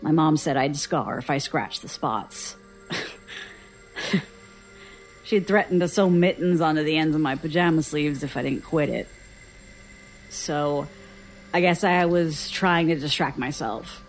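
An actress playing a weary, guarded survivor giving dry, reluctant testimony in an audio drama. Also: female voice, low voice, takes it slowly, pauses often, faint music behind, low-quality recording. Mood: uneasy, reflective. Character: guarded, weary woman survivor recounting childhood strangeness.

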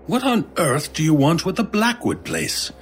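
A man's voice: on the high side, wildly swooping pitch, moderate pace, faint music behind. A man playing an exasperated, skeptical older antiques dealer in an old time radio drama, grumbling and dryly sarcastic. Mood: exasperated, wary. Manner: grumbling, dry sarcasm, weary storytelling.

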